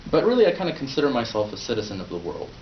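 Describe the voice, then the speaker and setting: A man's voice: medium pitch, wildly swooping pitch, racing, a little hiss or hum. A young man born in Poland, whose first language is Polish, gives a prepared speech at a club meeting, talking conversationally with fillers and restarts. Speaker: club speaker. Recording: roomy, distant, dull sound.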